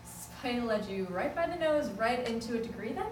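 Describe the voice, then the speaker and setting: Woman playing a high-voiced wry young assistant, echoey. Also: animated, normal speed, close to an everyday voice. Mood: wry, needling. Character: young female office assistant, wry, needling, level-headed. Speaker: actor at a script table read. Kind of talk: amateur comedic script table read. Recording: echoey room, distant mic, noisy.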